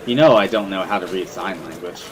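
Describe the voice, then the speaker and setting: A man's voice: low-pitched, a little fast, poor audio. A man reading a visual novel as first-person dramatic narration, in an earnest, brooding storytelling manner. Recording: music behind the voice.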